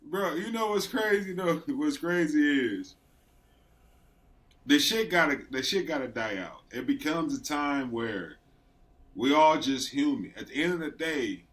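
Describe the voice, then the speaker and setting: Man, podcast co-host, laid-back, slangy, laughs a lot, slightly high voice. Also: expressive, measured pace, a bit bright. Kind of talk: casual chat.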